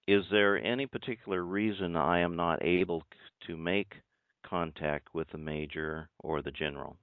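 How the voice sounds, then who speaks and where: A man calling by telephone, speaking formally and deliberately and pressing his questions. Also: low-pitched, fairly even intonation, dry recording. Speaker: caller introducing himself as a judge. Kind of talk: formal phone conversation. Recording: tinny band-limited phone line.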